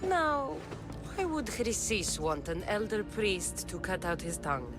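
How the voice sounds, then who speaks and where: An actress playing a blunt, sardonic young mercenary warrior of ancient Greece in a dramatic video game, questioning people bluntly. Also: female voice, mid-range voice, big pitch swings, measured pace. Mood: cold, accusing. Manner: blunt, probing. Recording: loud music behind the voice.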